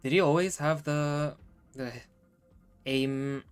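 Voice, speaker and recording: Slow-paced man gaming over music. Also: a little high, fairly even intonation. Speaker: game streamer. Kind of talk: casual gaming commentary, reacting aloud to a game. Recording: music behind the voice.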